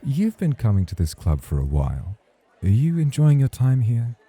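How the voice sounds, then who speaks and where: A man's voice: medium pitch, huge pitch swings, moderate pace, warm tone, breathy edge. A man acting in an ASMR roleplay as a suave, teasing club host, formal and flirtatious in his way of talking. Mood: courteous, probing.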